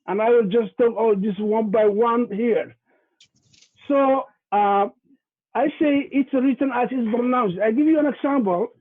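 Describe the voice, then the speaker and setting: A man with a Somali accent, a native Somali speaker, giving a halting presentation over a video call, repeating words and using many fillers. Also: extremely high pitch. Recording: tinny phone-like video call audio.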